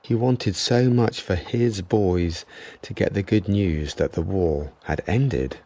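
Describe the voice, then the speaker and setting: Male storyteller for radio drama narration, deep register with plenty of vocal fry. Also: takes it slowly, dark tone.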